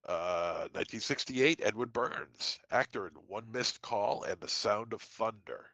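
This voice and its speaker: A man with a head cold co-hosting a podcast, reading dates and film titles with quick offhand asides. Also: on the low side, vocal fry, sharp tone, no room sound, low-quality recording. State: congested from a cold. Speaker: podcast co-host. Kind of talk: casual podcast segment reading trivia.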